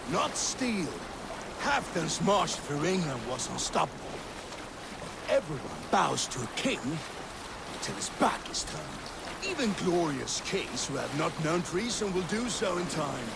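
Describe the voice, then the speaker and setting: An actor playing a seasoned, boastful Viking warrior telling a theatrical tale in a game. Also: male voice, high-pitched, gravelly, heavily acted. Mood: grave, proud. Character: seasoned male Viking warrior spinning boastful sea yarns. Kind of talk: dramatic oral storytelling. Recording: steady water and boat noise behind. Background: boat on water.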